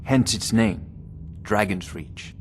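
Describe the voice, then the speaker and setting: A man's voice: lowish voice, takes it slowly, background noise. An actor playing a haughty, scholarly male court wizard in a video game, speaking in a formal, condescending and erudite way. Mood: lecturing. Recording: game music underneath.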